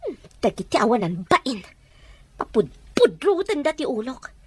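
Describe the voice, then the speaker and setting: Very high-pitched, scheming woman. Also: big pitch swings, measured pace, a little mellow, husky, dry recording, full character voice. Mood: taunting. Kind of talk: radio drama acting.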